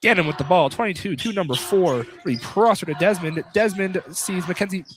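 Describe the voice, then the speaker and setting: A man's voice: sits high, fairly quick, a bit bright, faint music behind. A man doing casual, informal live play-by-play commentary of a basketball game for radio. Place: courtside at a college basketball game, noise behind.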